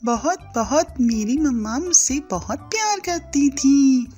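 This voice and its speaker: Woman playing a cartoon mama bird, extremely high voice, loving, anxious, unhurried, light music underneath. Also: lively intonation, dark tone. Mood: tender.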